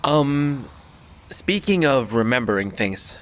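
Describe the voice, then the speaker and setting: An easygoing young man in an audio drama. His voice is mid-pitched and natural. He comes across as a loyal, encouraging friend. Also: lively intonation, takes it slowly, fairly bright tone. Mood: thoughtful.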